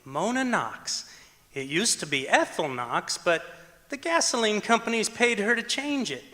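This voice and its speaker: Man acting an excitable, bright radio-comedy pal. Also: sits high, measured pace, full character voice. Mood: lovestruck, eager. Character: excitable comic male friend in a radio comedy. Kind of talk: old-time radio comedy acting on stage. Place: large auditorium stage, live performance. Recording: echoey auditorium stage recording.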